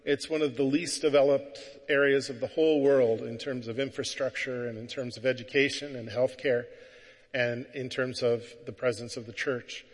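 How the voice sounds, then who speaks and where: A man, the parish priest, introducing a visiting bishop to the congregation in a relaxed way, with some filler words. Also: medium pitch, normal speed, long reverb tail. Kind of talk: introducing a guest speaker at Mass.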